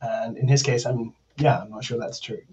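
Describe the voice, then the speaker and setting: A man's voice: mid-range voice, steady tone, normal speed, a little mellow, breathy edge, dull audio. A man on a podcast panel, discussing a book in an articulate, academic way.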